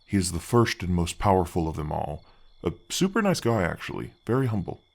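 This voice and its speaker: Gargoyle guardian played by a man, ancient but gentle: very low, effect-deepened voice, friendly, reassuring, slightly awkward fantasy ASMR delivery. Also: very animated, creaky, heavily acted. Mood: chatty, explaining. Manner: halting, friendly, reassuring, chatty. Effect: deep voice effect.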